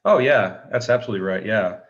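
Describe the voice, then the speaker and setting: A middle-aged man with a low voice tells his story at an easy pace. He wanders through his answers, full of fillers and restarts. The sound is dull and lo-fi. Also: expressive, fairly quick. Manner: rambling, many fillers and restarts. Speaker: guest, actor and retired military pilot. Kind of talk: casual interview answers.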